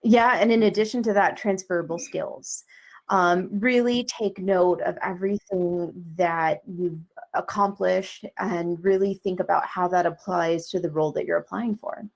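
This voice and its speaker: A woman moderating a session, reading out audience questions with brisk, upbeat transitions. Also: lowish voice, fairly even intonation, creaky, low-quality recording.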